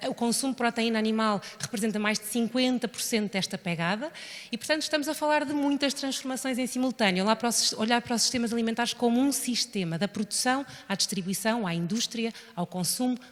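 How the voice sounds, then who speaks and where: A woman's voice: on the high side, fast talker. A woman with a European Portuguese accent giving an earnest, explanatory public talk as a researcher on a panel. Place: large indoor hall, public event. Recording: echoey hall with long reverb.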